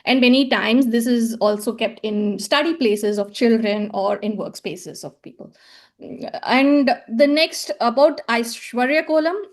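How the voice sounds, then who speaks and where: Woman presenter, fairly high pitch, hesitant and repetitive, lecture tone. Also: unhurried. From South India. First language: Tamil. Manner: hesitant, many fillers and repeated words. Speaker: conference presenter. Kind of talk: academic presentation with slides.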